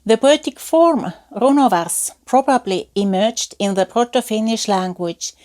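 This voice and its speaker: A woman's voice: fairly high pitch, a little mellow. Woman explaining folk traditions. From Estonia. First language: Estonian. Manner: measured, explanatory, carefully paced. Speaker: folklore researcher. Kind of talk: scripted educational talk.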